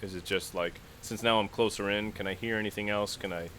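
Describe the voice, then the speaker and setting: A man's voice: lowish voice, steady tone, brisk, fairly bright tone. A man playing a tabletop game, chatting casually and thinking out his moves aloud with frequent restarts. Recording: clear background noise.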